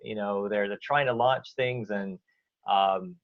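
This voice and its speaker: A man with a Canadian accent, a guest answering interview questions in a relaxed way, with many fillers and restarts. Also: lowish voice, measured pace. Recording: dull lo-fi audio.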